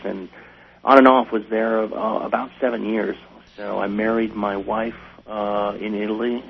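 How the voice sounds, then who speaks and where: A man's voice: on the low side, creaky. An American man talking casually as an interview guest, telling stories in a hesitant way with repeated phrases. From Wisconsin, United States. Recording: dull lo-fi radio sound, light hum.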